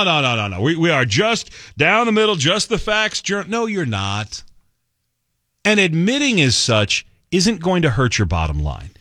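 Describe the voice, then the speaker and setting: Male radio talk host, sarcastic monologue, lively swooping pitch, dry close studio sound. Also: no room sound. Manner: sarcastic, mocking quotes. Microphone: studio mic.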